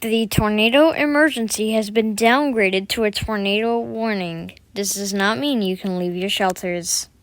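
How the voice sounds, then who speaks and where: A synthetic female voice reading a disclaimer and alert updates in an even, precise way. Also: medium pitch, normal speed, few pauses, very noisy. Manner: even, precise, robotic delivery. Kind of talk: synthetic announcement.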